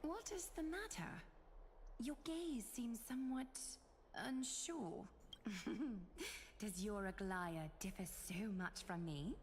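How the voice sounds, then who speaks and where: A woman's voice: mid-range voice, expressive, takes it slowly, pauses often, a little hiss or hum. A woman playing a solemn, refined noblewoman in a video game, speaking formally and with poise. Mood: amused, poised.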